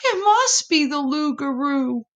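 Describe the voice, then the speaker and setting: A woman's voice: sits high, very animated, measured pace, muffled recording, pitched above the performer's own voice, full character voice. A woman voicing a plucky, boastful little boy possum for a children's storybook, in eager, breathless exclamations. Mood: frightened.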